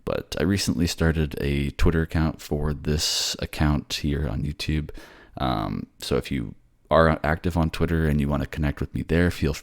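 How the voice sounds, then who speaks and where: A man's voice: rumbling low, normal speed, vocal fry, few pauses. A man who voice acts for his own channel, speaking directly to his listeners as narrator and creator. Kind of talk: narration and casual sign-off to listeners.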